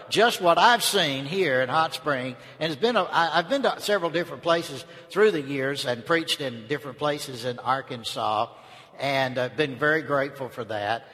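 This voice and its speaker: Older Southern preacher in a reverberant hall. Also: male voice, fairly high pitch, pushed and bright. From North Georgia, United States. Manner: folksy, conversational. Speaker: visiting preacher, seminary teacher. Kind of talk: sermon, informal opening remarks to a congregation. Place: large indoor church space.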